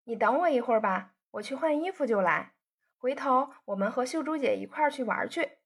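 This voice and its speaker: A woman reader puts on a bouncy, eager younger sister with a chirpy manner. The voice jumps up high and loud over the narration, breathy at the edges. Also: lively intonation, brisk, heavily acted. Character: lively, girlish younger sister, bubbly.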